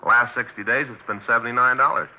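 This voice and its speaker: A man's voice: medium pitch, fairly even intonation, quick pace, lightly acted. An American man playing an easygoing, plainspoken police detective in a 1950s radio drama, with a conversational manner. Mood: matter-of-fact. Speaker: police officer. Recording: dull, slightly noisy 1950s radio recording.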